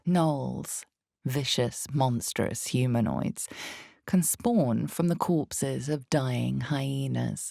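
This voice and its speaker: An actress voicing the narrator of a dark fantasy game, reading in slow, measured second person with a husky, creaky low voice. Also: female voice, dry recording, clean studio sound, full character voice. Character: unseen female storyteller of a dark fantasy game. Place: studio.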